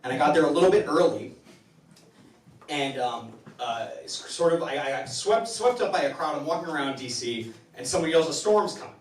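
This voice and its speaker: A man hosting a live comedy show, telling stories in a halting, rambling way with stammered restarts. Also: fairly high pitch, expressive. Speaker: host of a comedy town hall show. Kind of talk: stand-up comedy monologue to a live audience. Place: indoor live comedy venue with audience. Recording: roomy, distant, noisy live venue recording.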